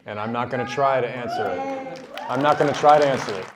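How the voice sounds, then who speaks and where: A man facilitating a group discussion who muses haltingly, with many restarts and fillers. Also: medium pitch, a loud crowd, heavy background noise. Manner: halting, full of restarts when musing.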